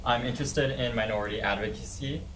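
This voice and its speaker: A man's voice: medium pitch, fast. A male student from California introducing himself to a class. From California, USA. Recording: echoey room, some background noise.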